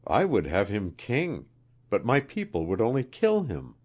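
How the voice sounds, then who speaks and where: Storybook role: man as young queen, higher-pitched, halting, anxious, pleading, with some royal command. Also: wildly swooping pitch, darkish tone, full character voice. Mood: earnest, pleading.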